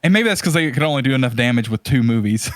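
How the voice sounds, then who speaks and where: A man hosting a podcast in casual conversation, talking in a halting, rambling way as he searches for words. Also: mid-range voice, expressive, no room sound. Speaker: podcast host.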